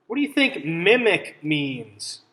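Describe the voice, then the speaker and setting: A man reading a picture book aloud to children as a teacher, pausing to ask simple questions and explain things. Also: on the high side, big pitch swings, sharp tone. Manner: instructive, checks understanding with simple questions.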